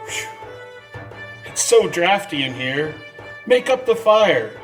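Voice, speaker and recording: Male actor as giddy reformed old miser; highish voice, theatrical radio-play delivery, music underneath. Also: sing-song, takes it slowly. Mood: jovial. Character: reformed old miser, giddy, generous, mock-stern then jovial. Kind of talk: old-time radio drama acting.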